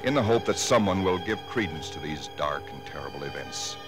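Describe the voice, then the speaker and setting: An actor playing a haunted, brooding American husband in a 1940s radio drama, speaking haltingly and intensely. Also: male voice, extremely low pitch, animated. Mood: bleak foreboding. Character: haunted, dread-filled husband recounting nameless horrors. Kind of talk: 1940s radio drama acting, first-person narration. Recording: 1940s radio broadcast with loud music.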